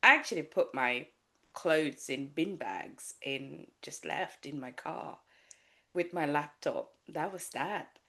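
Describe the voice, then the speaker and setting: A low-pitched woman from Sri Lanka speaks as a guest on a podcast. She talks candidly and haltingly, often restarting her sentences and stopping on fillers as she works through a personal story. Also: expressive, pushed and bright. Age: adult with a child. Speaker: life coach, guest.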